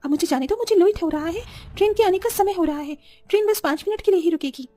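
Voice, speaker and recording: A woman voicing an earnest, polite young village seamstress in an animated story. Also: very high voice, fast talker. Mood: pleading, distressed. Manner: polite, pleading.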